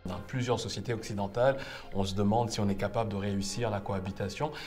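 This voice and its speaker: A man's voice: lowish voice, fairly even intonation, quick pace, a little mellow, soft background music. A man hosting and moderating a panel discussion, putting questions to a group. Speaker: interviewer, host.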